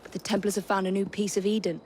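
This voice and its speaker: A woman in her twenties with an English accent playing a composed, sharp-witted Victorian assassin in a video game cutscene. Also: mid-range voice, flat delivery, fast talker, slight background noise, lightly acted. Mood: dry, composed. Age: 20s. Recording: game dialogue over music.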